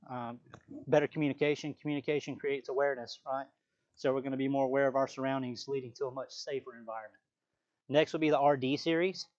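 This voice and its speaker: A man's voice: medium pitch, darkish tone. Man giving product training, dull audio. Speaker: sales trainer. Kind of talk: live product training presentation.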